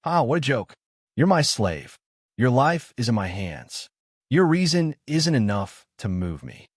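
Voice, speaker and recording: Cocky young hero guy done by a male audiobook reader, mocking, bossy, super animated pitch. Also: unhurried, no echo, clean studio sound. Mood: scornful. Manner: exclamatory, scoffing, self-interested.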